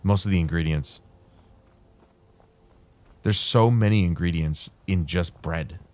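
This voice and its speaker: A man casually rambling while playing a game, his throat dry and tired, with a calm, soothing way of talking. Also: sits low, slow pace. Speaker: gaming channel host. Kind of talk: casual rambling commentary over gameplay.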